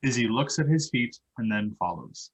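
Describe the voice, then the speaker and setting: A man narrates a stage script, reading out directions. His voice is medium in pitch with a husky, unpolished edge. The delivery is scripted narration. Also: lively intonation, normal speed, rough recording.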